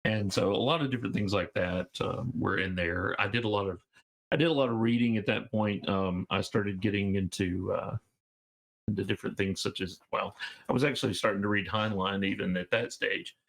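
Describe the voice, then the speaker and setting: A man's voice: lowish voice, brisk, a bit bright. A man of fifty from Georgia, chatting casually as an interview guest, rambling with fillers and restarts. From Georgia, USA. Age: 50s. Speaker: guest game designer.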